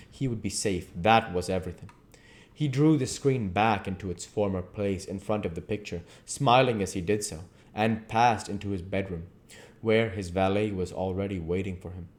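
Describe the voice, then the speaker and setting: A man's voice: low-pitched, normal speed, a little mellow. Man reading a classic novel aloud. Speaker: reader, channel host. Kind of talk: literary audiobook-style reading.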